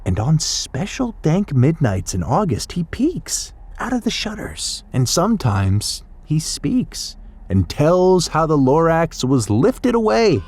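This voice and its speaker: A man narrating a children's storybook in an expressive, theatrical way. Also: fairly high pitch, takes it slowly. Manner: dramatic, theatrical.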